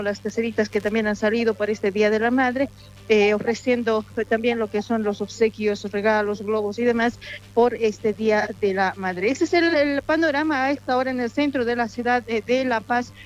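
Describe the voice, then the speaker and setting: A woman with a Bolivian accent giving an improvised live news report as a field reporter, wordy and a little hesitant. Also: mid-range voice.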